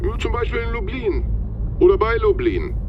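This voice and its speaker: Swooping, sardonic boss on the phone. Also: male voice, wildly swooping pitch. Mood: smug. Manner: sardonic, drawn-out, insinuating. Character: smug, distrustful male boss, coldly needling his employee. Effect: phone call filter. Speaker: actor in a radio drama. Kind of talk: naturalistic German radio drama acting. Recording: dull phone line, road rumble noise.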